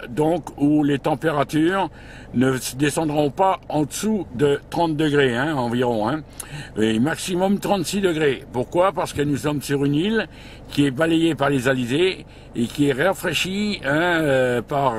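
A man working as a radio operator from a parked vehicle, talking in procedural radio chat with frequent tag words. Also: fairly high pitch. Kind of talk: radio contact chat with spelled-out call signs. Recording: background noise in a vehicle.

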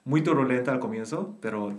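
A man's voice: medium pitch, brisk, a little mellow, reverberant. A young man from Bolivia with a Santa Cruz camba accent, chatting casually and teasingly as a podcast guest. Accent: Bolivian Spanish, Santa Cruz (camba). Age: young adult. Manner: laid-back, teasing, reflective. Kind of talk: casual chat.

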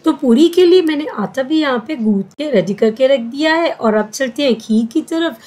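A woman's voice: high-pitched, animated, normal speed, a little mellow. Chatty woman narrating home cooking. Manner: chatty step-by-step instructions, many fillers. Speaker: cooking vlogger. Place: home kitchen.

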